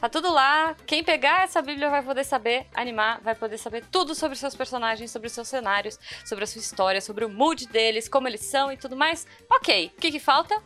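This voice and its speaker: Chatty Brazilian woman podcaster, higher-pitched voice. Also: a bit bright. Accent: Brazilian Portuguese. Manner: chatty, informal, addresses listeners directly. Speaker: podcast host. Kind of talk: casual explanatory podcast talk. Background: background music.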